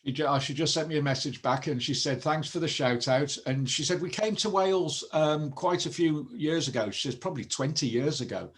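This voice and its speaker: A man's voice: a little high, brisk, few pauses, husky. A man hosting a casual radio show, reading out listener messages in an easygoing way. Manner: relaxed, reads out listener messages. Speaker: radio host.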